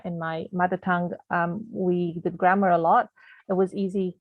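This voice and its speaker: A woman teacher from Canada, whose first language is not English, explains grammar patiently, with many fillers and restarts. Her voice comes through a tinny, phone-like video call. The sound is dry, with no room echo. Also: on the low side. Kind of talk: online grammar lesson.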